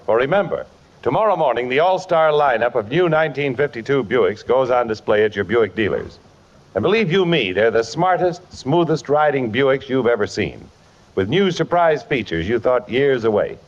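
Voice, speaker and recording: A man's voice: mid-range voice, expressive, moderate pace. A man reading a 1950s radio commercial as an announcer, with emphatic salesmanlike delivery. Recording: muffled vintage radio broadcast.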